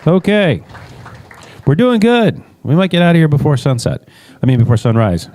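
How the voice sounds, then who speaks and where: A man with a relaxed, mellow voice runs a meeting. He calls up speakers with informal announcements and an offhand, joking manner. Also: on the high side, normal speed, slight background noise. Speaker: meeting host introducing candidates.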